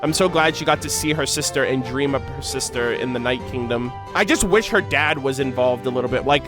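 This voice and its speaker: A man's voice: medium pitch, a little fast, music in the background. A man streaming his reaction to game lore, reading story text aloud and commenting casually in an emotive, slangy way.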